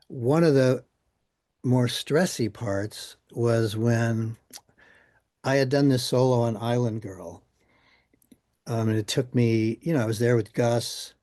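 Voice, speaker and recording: An older man shares anecdotes in a relaxed interview setting. His voice is mid-pitched and mellow, recorded close and dry, and he talks in an easy, conversational storytelling way. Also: no echo. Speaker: musician being interviewed.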